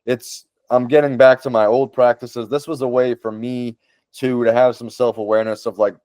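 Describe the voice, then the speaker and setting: A man's voice: mid-range voice, flat delivery, moderate pace, a little mellow, no echo. A man with a Boston accent, a podcast host walking through social media tools in casual talk. He speaks with enthusiasm and repeats words for emphasis.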